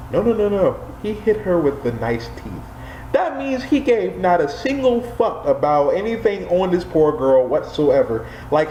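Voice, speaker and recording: Animated young American man telling a story. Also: fairly high pitch. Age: 20s. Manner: animated, exaggerated, profane. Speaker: storyteller vlogger. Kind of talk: casual comedic storytelling. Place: small room indoors, on a couch. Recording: roomy echo, faint background noise.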